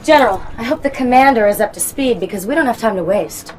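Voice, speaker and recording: A woman's voice: on the high side, expressive, fast, background noise. An actress playing a brash, impatient young female commando in a video game, sassy and defiant over a field transmission. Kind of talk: dramatic video game cutscene acting.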